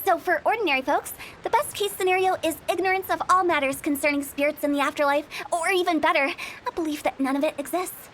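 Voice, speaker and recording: Brief: a playful, scheming young funeral director, high and bright, teasing with creepy asides. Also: female voice, fast talker, a little hiss or hum. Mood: earnest. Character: mischievous young female funeral parlor director. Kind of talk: video game voice acting.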